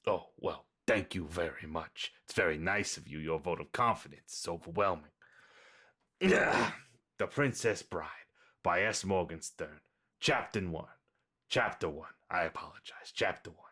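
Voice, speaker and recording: Someone doing a low-voiced wry old grandfather. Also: animated, measured pace, pushed and bright, husky, heavily acted. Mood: dry, wry. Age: older. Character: gentle, wry, grandfather reading to his sick grandson. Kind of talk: dramatic table read.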